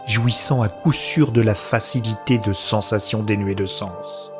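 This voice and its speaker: A man's voice: lowish voice, close to an everyday voice. Brooding male narrator over music, voiced by an actor. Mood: anguished, intense. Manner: literary, introspective, measured phrasing. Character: brooding, anguished man narrating his own story. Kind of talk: scripted first-person narration of sci-fi audio drama.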